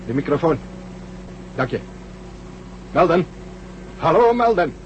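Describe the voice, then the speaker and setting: A man playing a brisk, authoritative spaceship commander under pressure in a radio drama, speaking tersely. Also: a little high, sing-song, muffled recording. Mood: curt, impatient. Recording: old radio play, heavy engine noise.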